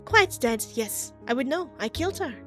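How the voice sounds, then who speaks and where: A woman's voice: high-pitched, moderate pace, faint music behind, voice pushed up. Woman putting on a cool, poised witch. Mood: detached. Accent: put-on French, slipping into British. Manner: calm, formal, coolly polite. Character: poised tall female witch, cool and detached.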